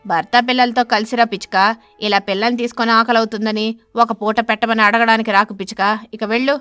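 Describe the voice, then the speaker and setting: A woman acts out a stingy stork husband from an animated fable. He is stern and scolding, with a sharp tongue and a lecturing manner. The sound is close and dry, with music underneath. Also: a little high, brisk, smooth, no room sound.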